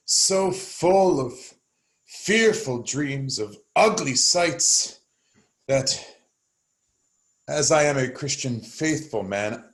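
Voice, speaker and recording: Man as a haunted nobleman, swooping and echoey. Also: on the high side. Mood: haunted, weary. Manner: dramatic, anguished. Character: Clarence, troubled nobleman haunted by fearful dreams. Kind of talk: classical Shakespeare stage acting. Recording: roomy, echoing livestream sound.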